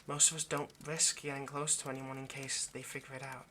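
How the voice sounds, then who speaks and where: A man voicing an ageless vampire lover in an intimate audio roleplay, possessive yet remorseful, speaking in a halting, earnest, pleading way. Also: mid-range voice, level tone, bright, forceful tone, a little hiss or hum, natural voice. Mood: confiding. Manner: halting, earnest, pleading, dropping to near-whisper.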